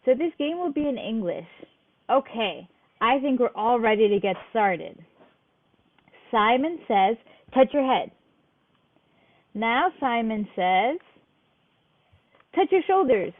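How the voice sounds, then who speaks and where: A woman's voice: on the high side, animated, slow, pauses often. A woman leading a game for small children, playfully addressing them directly as she gives instructions. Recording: thin phone-like audio.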